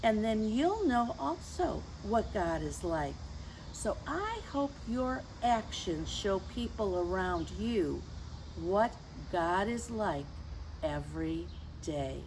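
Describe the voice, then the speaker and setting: Slow, very expressive woman reading to children. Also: mid-range voice, slight background noise, poor audio. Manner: gentle teacherly, addressing young children with questions and asides. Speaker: children's story time host and reader. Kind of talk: picture book read-aloud for children.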